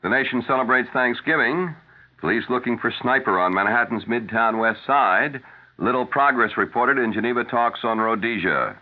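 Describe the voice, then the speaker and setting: A man's voice: mid-range voice, normal speed, slight background noise. An American man reading scripted news as a radio anchor on a 1970s broadcast. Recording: thin narrow-band 1970s radio broadcast.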